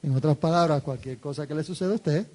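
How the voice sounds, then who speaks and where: A man interpreting a sermon into Spanish, phrase by phrase, in a steady way. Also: a little high, fast talker, slight background noise. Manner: steady, follows the preacher phrase by phrase.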